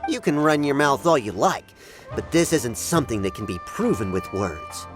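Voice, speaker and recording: An actor playing a proud, scornful samurai who speaks in a blunt, formal, haughty way in a video game. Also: male voice, medium pitch, big pitch swings, normal speed, music in the background, close to an everyday voice. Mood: proud, dismissive.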